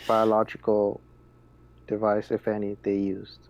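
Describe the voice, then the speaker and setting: A man with a fairly low voice takes part in a tabletop roleplaying game as a player. He speaks casually on behalf of his character. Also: monotone, a little slow, a little mellow, slight background noise.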